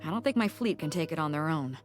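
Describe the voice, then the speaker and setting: A woman's voice: low-pitched, expressive, quick pace, over music. A woman voicing a bold, blunt female ship captain with a casual, slangy way of talking. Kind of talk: game cutscene voice acting.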